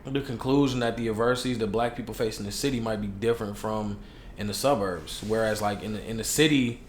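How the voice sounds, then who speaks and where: A young man with a Chicago accent talks in a low, easygoing voice, dropping slang as he tells candid stories from his life. He sounds like a podcast guest, recorded in an echoey room with a faint hiss behind him. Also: fast. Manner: relaxed, slangy, candid storytelling. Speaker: hip hop artist guest. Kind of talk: casual podcast interview.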